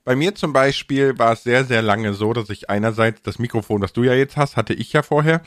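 A man's voice: mid-range voice, brisk, dry recording. Colloquial man chatting, dry close sound, sibilant s. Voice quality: slightly stressed sibilant s sounds. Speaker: podcast host and content creator. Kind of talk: casual podcast chat.

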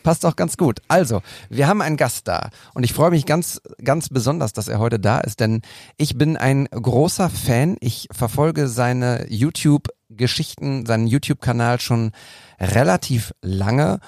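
Man, podcast host, chatty, jokey, slightly tired, raspy fry, dry close mic. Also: medium pitch, lively intonation, a little slow, darkish tone, dry recording. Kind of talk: casual podcast hosting.

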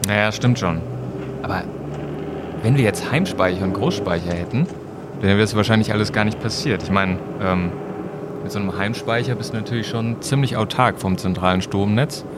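A man's voice: lowish voice, normal speed, heavy background noise, natural voice. A man playing a curious, easily amazed time-traveling companion in an amateur audio drama, speaking casually and a little hesitantly. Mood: earnest. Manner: casual, questioning, slightly hesitant.

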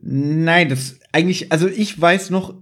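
A man's voice: a little high, a little slow, a bit bright. A man chatting casually as a podcast host, explaining things at length and often tagging on questions. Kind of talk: casual chat about audio dramas.

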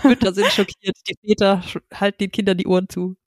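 Hoarse woman with a cold, dry sound. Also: mid-range voice, little pitch movement, fast talker, dry recording. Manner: playful, joking. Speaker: podcast co-host. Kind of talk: casual podcast chat.

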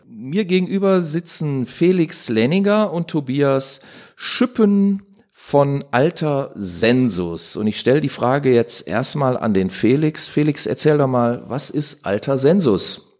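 A man's voice: medium pitch, very animated, slow pace, a little mellow. A man hosting a casual podcast interview in a playful, jokey way. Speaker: podcast host.

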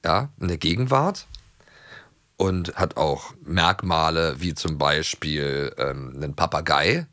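A man's voice: rumbling low, slow pace, a bit bright, no echo, clean studio sound. A middle-aged man co-hosting in casual chat, often rephrasing as he thinks aloud. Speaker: podcast co-host. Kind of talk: casual chat about audio dramas.

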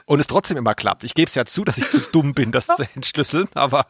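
A man with a creaky, gravelly voice of medium pitch talks casually as a podcast co-host. He thinks aloud, hesitant and self-deprecating. The recording is very dry, with faint music behind him. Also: animated, fast, fairly bright tone, no echo. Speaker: podcast co-host, quiz contestant. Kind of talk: casual chat.

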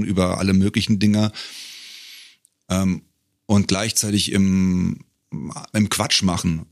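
Man, middle-aged, low pitch, dry close recording, casual storytelling, halting and wry. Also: creaky, dry recording. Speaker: podcast guest, a cappella singer and arranger.

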